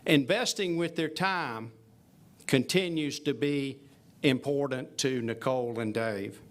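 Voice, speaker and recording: A man on a university faculty giving a ceremonial speech, telling a story with some halting fillers and restarts. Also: medium pitch.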